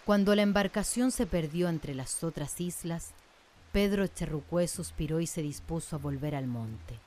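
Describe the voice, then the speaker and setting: A woman narrating a scripted radio drama in a measured storytelling manner. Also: fairly low pitch, a little mellow.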